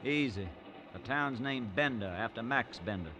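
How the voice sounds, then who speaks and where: An actor playing a brash, sarcastic accused killer with a flippant, complaining manner in an old-time radio western. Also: male voice, medium pitch, expressive, normal speed, bright, forceful tone. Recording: noisy vintage radio recording.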